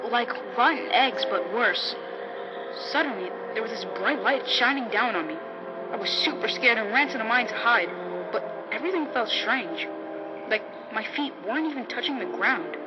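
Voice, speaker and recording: A woman's voice: on the high side, natural voice. A woman playing a polite, earnest and easily frightened young boy scout in a vintage radio drama. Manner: earnest, boyish, naive. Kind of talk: 1950s radio drama acting. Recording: muffled vintage radio sound, loud noise bed.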